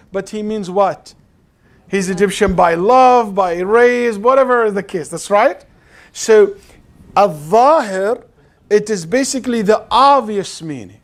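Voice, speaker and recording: A man with an Arabic accent teaches an interactive religious lesson as an Islamic lecturer, explaining didactically and checking understanding with questions. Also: sits high. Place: live class at an Islamic center. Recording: clearly noisy live room recording.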